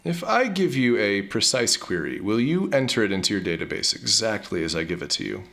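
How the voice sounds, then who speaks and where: A man's voice: fairly low pitch, very animated, a little fast, creaky, fairly bright tone, heavily acted. A man playing a sheepish, nerdy hacker in an audio drama, hesitant and self-justifying. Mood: brooding. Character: sheepish, nerdy male hacker, brooding digital security veteran.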